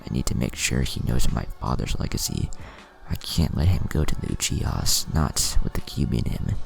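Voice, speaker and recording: A man voicing a heroic village leader and young father, earnest, resolute and deliberate, in a story narration. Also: rumbling low, expressive, gravelly, pitched below the performer's own voice, exaggerated voice. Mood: worried.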